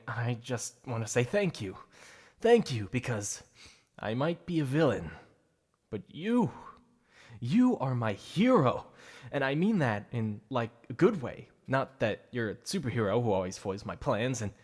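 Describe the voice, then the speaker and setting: A man's voice: mid-range voice, lightly acted. A man performing a boastful, playful supervillain for an ASMR roleplay, with a secret obsession behind the show. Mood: flustered. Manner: showy, mocking, playful.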